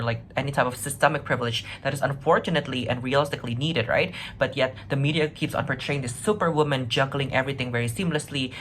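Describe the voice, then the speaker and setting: Low-pitched woman arguing in a debate. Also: fairly bright tone, a little hiss or hum. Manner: earnest, conversational, frequent 'right?' and 'like' tags. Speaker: competitive debater, first affirmative speaker. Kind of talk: argumentative debate speech, semi-improvised.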